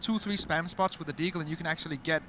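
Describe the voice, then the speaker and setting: Danish-accented male caster, high-ish and quick, eager breakdowns. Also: noisy background. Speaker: esports caster. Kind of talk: esports commentary.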